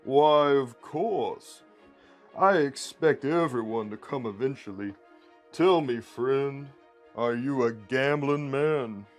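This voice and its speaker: Man acting sinister catfish card shark, big, Mississippi drawl, deep, unhurried, folksy persuader, fantasy story. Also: soft background music, natural voice. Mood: menacing welcome. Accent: Mississippi southern drawl. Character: large sinister southern catfish gambler in a suit.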